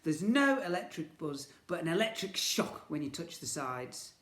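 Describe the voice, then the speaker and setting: Male storyteller for young children, expressive and theatrical, recorded in a roomy, echoing space. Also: on the high side, moderate pace. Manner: theatrical, expressive, with emphatic sound words. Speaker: teacher reading a story to children. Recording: echoey room.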